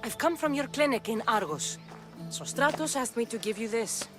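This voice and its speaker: Lively, self-assured woman adventurer, acted by a woman. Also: a little high, moderate pace, over music, close to an everyday voice. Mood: calm, assured. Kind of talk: video game cutscene dialogue.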